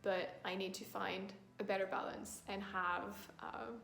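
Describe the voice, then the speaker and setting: A woman's voice: mid-range voice, level tone, a little slow, creaky, fairly bright tone. Hesitant French-accented woman in an echoey room. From France. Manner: thoughtful, hesitant, many ums and restarts. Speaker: book vlogger. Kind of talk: casual tag-video chat. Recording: echoey room with faint music.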